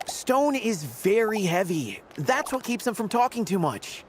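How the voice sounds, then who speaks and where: A woman's voice: medium pitch, sing-song, unhurried, noisy background. A woman voicing a wise, wry storybook creature in a video game, speaking like a storyteller. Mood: wry, knowing. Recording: music behind the voice.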